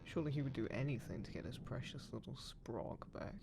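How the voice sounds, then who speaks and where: A man playing a roguish, smug, gruffly caring undead pirate captain in a roleplay audio drama, teasing and menacing, speaking unhurriedly to the listener. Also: mid-range voice, animated, brisk, noisy background. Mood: smug, taunting. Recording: constant ship creaking ambience behind voice. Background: creaking wooden ship sound effects.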